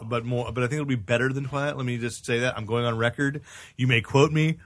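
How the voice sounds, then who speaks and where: A man's voice: medium pitch, steady tone, quick pace. Casual, opinionated middle-aged male podcast host. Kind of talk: casual comics podcast chat.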